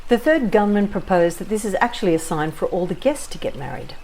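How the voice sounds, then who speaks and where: A woman's voice: mid-range voice, animated, fairly quick, darkish tone, a little hiss or hum, natural voice. An actress playing a self-satisfied, whimsical woman, narrating an audio play in a theatrical, wry, ornate way. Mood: bemused, wry. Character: self-satisfied, whimsical Australian woman recalling a wedding trip. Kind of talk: scripted first-person storytelling.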